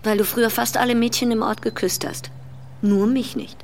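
A woman's voice: fairly high pitch, steady tone, normal speed, a little hiss or hum, lightly acted. An actress playing a seductive, bold married woman in a radio drama, intimate and coaxing. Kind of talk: German radio drama acting.

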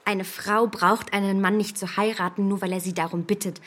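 An actress playing a confident, manipulative young gentlewoman in a period radio drama, with a poised, measured and insistent delivery. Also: medium pitch, little pitch movement, natural voice. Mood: affectionate, persuasive.

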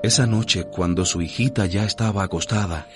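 A man's voice: sits low, moderate pace, close to an everyday voice. A man narrating a radio drama for children as a storyteller. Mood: grave storytelling. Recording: music bed under the voice. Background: music.

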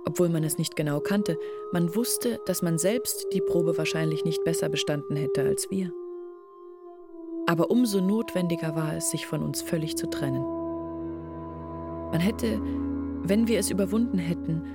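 An actress playing a village woman in a radio drama, recounting her outcast family's shame in a measured, reflective way. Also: on the low side, expressive, over loud music. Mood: bitter, resigned. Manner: measured, reflective, articulate storytelling. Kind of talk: literary radio drama monologue.

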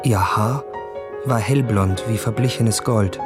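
An actor playing an earnest, infatuated young gentleman in a radio play, speaking in an intense, inward, literary way. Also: on the low side, slow. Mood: enraptured, musing. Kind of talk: German radio play acting. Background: composed music.

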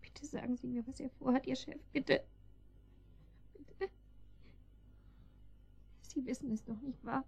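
Casting: anxious hostage wife for radio drama; soft, dark, husky tone, hesitant and pleading. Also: female voice, sits high, steady tone, unhurried, long pauses, heavily acted. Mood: desperate pleading. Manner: hesitant, pleading, breaks off sentences. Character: anxious captive wife, pleading and probing her guards. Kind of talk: German radio drama acting.

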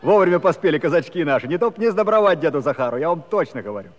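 An actor playing a gossipy, lively village Cossack, narrating a comic audio drama in a chatty, folksy way. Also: male voice, fairly high pitch, rapid-fire, background noise. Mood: amused, gossipy.

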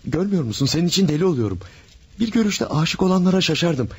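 A man's voice: on the high side, lively intonation, quick pace, a little mellow, husky, heavily acted. An actor playing an infatuated married man in a radio drama, pleading and overwrought in his passion. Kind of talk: 1970s-style radio drama acting.

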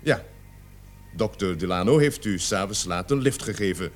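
A male actor plays a stern male police officer in a radio drama. He questions people formally and insistently, with authority. His pitch moves in a lively, animated way. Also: normal speed, soft background music, lightly acted. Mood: probing. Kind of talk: Dutch radio play acting.

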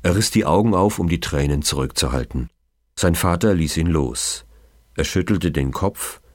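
A man's voice: rumbling low, normal speed, dry recording, clean studio sound. A man reading a children's novel aloud as dramatic storybook narration. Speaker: audiobook reader.

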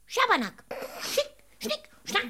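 A woman's voice: very high voice, huge pitch swings, slow, background noise, exaggerated voice. A woman in a radio play voicing a teasing, childlike goblin spirit that babbles in sing-song repetitions and nonsense rhymes. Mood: mischievous, playful.

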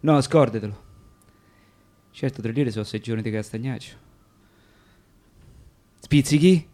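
A man's voice: mid-range voice, unhurried, mellow, natural voice. A man acting a reluctant, hard-bargaining seller in a radio drama, guarded and dry. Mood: guarded, haggling. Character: reluctant, hard-bargaining man selling his trench coat.

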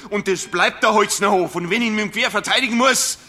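Hot-tempered Bavarian farmer, high-pitched, tense bright voice, insistent, radio drama. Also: male voice, quick pace, soft background music. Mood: defiant, aggrieved. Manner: indignant, insistent. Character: stubborn, hot-tempered Bavarian farmer fighting for his farm.

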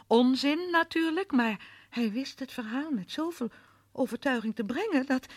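A woman's voice: high voice, lively intonation, normal speed, no room sound. An actress playing a volatile, guilt-haunted woman killer in a radio drama, given to muttering and stammering. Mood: reading aloud. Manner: reads aloud, muttering bitter asides, breaking into anguished stammering. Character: distraught woman killer, scornful, then horrified by guilt.

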